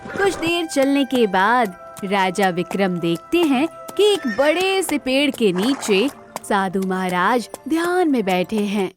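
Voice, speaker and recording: Slow, high-voiced woman storyteller. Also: very animated, faint music behind. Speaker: storyteller narrator. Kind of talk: scripted children's story narration.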